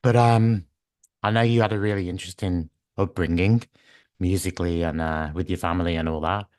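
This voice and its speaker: Brief: low-voiced man, croaky today, chatty interviewer, dry sound. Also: steady tone, a little fast, darkish tone, no echo. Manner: friendly, hesitant, many ums and restarts. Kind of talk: casual interview questions.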